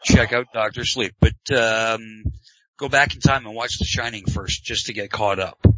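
A man's voice: fairly low pitch, steady tone, normal speed, pushed and bright, no room sound. Sarcastic Canadian man hosting. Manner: sarcastic, joking. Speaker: podcast host. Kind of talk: casual chat. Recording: dull lo-fi dry sound.

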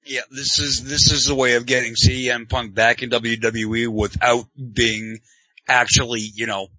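A man from Canada hosts a loose, joking talk show with a sarcastic edge. His voice is bright and pressed, heard in a dry, dull lo-fi recording. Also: medium pitch, level tone, normal speed, no echo. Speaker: podcast host. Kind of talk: casual chat.

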